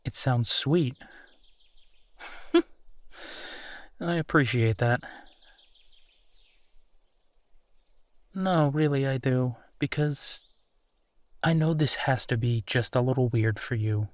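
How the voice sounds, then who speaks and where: A man playing a gentle, embarrassed werewolf boyfriend in an intimate roleplay audio drama, speaking hesitantly and self-deprecatingly. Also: medium pitch, slow pace, long pauses, no echo, natural voice. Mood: touched, shy. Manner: hesitant, self-deprecating, sighing, soft chuckles.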